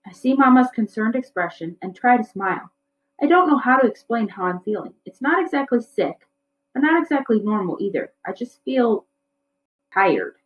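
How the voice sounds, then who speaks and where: A woman's voice: a little high, lively intonation, normal speed, slight background noise, low-quality recording. A woman reading a children's novel aloud as a teacher would, in storybook narration.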